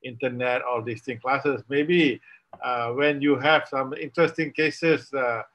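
A man's voice: mid-range voice, fairly even intonation, unhurried, a bit bright. A man with a Malaysian accent moderating a webinar panel on a video call, polite and a little hesitant. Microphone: video call from a laptop. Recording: dull lo-fi call audio.

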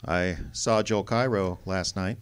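Man acting a wry noir private eye, American, fairly low voice, blunt, slangy, radio drama. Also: fairly even intonation, slow pace, clear, resonant tone. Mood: wry, challenging. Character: wry, hard-boiled male private detective.

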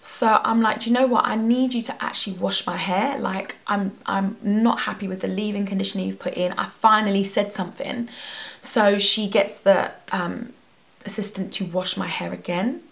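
Animated young woman with gravelly tone. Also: mid-range voice, moderate pace. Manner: animated, expressive, gesturing a lot. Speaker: hair vlogger. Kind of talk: storytime vlog. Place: bedroom at home. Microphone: camera at face distance.

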